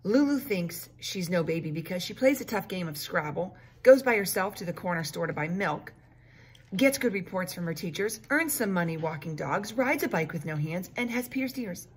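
A middle-aged woman reading a children's story aloud like a teacher, expressive and emphatic for young pupils. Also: on the low side, moderate pace, slightly rough. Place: small classroom, indoors. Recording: noticeable room noise on device mic.

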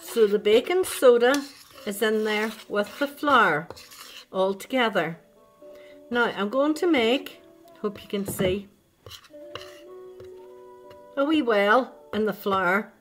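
A woman's voice: medium pitch, slow, pauses often, faint music behind. An older woman, hosting a children's baking lesson in her home kitchen and talking straight to the young viewers with coaxing questions. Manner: chatty, talks directly to children, coaxing questions. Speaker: baking show host. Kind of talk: children's cooking demonstration.

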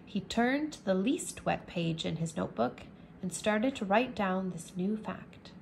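A woman teacher reading a children's chapter book aloud, slowly and clearly, indoors at a table. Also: medium pitch, expressive, background noise. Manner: slow, careful, clear reading. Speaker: English teacher.

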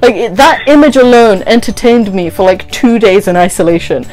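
A woman's voice: mid-range voice, normal speed, rough recording. A woman in her twenties telling a story in casual, giggly chat, as a vlog host recording at home. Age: 20s. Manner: animated, giggly. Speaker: vlogger, host. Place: small bedroom, indoors.

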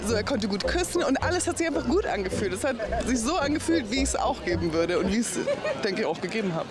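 A woman's voice: fairly high pitch, expressive, quick pace. Frank young woman from Bavaria. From Bavaria, Germany. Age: young adult. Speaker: passer-by being interviewed. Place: city street outdoors. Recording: loud music and crowd behind.

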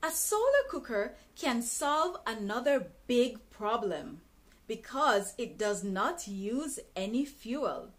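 Woman teacher in her 30s, reads a lesson very slowly and deliberately, small room. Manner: careful, deliberate reading with expressive pitch. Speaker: English teacher reading a textbook aloud. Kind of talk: slow, clearly enunciated read-aloud lesson. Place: small bright indoor room.

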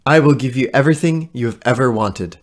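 An actor playing a seductive, menacing fae creature in an audio play, coaxing and taunting. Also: male voice, mid-range voice, brisk, lightly acted. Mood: menacing, tempting.

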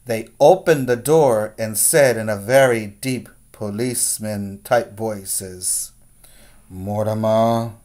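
A man in his forties reads a picture book to small children at a slow pace. His delivery is deliberate and expressive, like a teacher making sure the class follows along. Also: fairly low pitch. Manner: deliberate, expressive, checks in with listeners. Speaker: preschool teacher. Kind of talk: read-aloud storytelling for preschoolers. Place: small plain room indoors. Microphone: webcam at arm's length.

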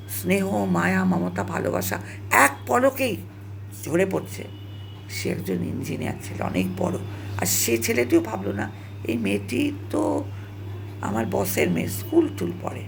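A woman tells a personal story in an emotional, dramatic monologue, halting and repeating phrases for emphasis and dropping in English words. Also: fairly low pitch, gravelly, a little hiss or hum. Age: 70s. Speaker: storyteller recalling own past.

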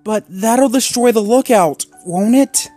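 A man's voice: sing-song, a little slow, a little mellow, faint music behind. A man voicing a worried, whiny young mutt pup. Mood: whining. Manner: pleading, whiny, higher raised voice. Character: anxious, gentle young male mixed-breed dog.

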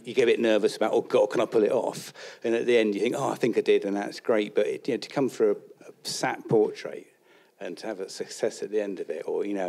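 A man's voice: fairly low pitch, creaky, warm tone. A middle-aged man, a portrait painter answering questions on a panel, hesitant and self-deprecating, restarting his sentences. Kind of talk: casual panel talk. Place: college event hall with an audience.

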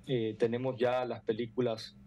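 Middle-aged man, soft dark timbre, medium pitch, halting earnest explanations, video call. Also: level tone. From Ecuador. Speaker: foundation president, interview guest. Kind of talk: interview answers. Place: room indoors at home or office.

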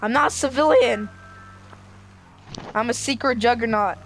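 An excitable game player improvising zombie roleplay, blurting short exclamations into a home gaming mic. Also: medium pitch, measured pace, a little hiss or hum.

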